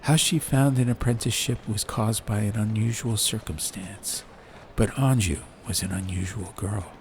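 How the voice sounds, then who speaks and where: A man reading a story as an atmospheric audiobook narrator, in measured short phrases with pauses. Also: fairly low pitch, fairly even intonation, darkish tone. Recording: clear background ambient noise. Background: ambience.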